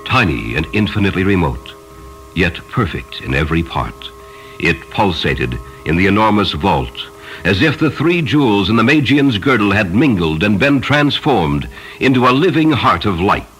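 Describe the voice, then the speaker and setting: Deep-voiced male storyteller over music. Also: animated, a bit bright, poor audio. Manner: solemn, measured, dramatic. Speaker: narrator. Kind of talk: scripted literary story narration. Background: music behind the voice.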